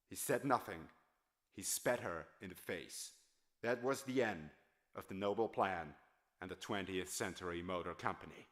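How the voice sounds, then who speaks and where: A man reading literary fiction as an audiobook narrator, in a measured, grave manner. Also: lowish voice. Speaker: audiobook reader.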